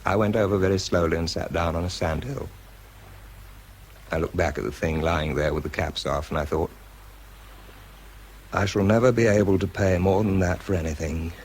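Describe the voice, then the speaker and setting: British male as a worn-out, introspective wartime expert; very low voice, understated radio drama delivery, noisy recording. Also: moderate pace, pauses often. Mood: weary, reflective. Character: exhausted, self-doubting man, a wartime bomb disposal expert. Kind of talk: British radio drama, first-person narration and dialogue.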